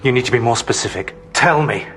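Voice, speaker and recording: A man's voice: mid-range voice, fairly quick, a bit bright, faint music behind, natural voice. A man playing a ruthless, impatient commander interrogating a captive in an audio drama, demanding and menacing. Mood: urgent, demanding.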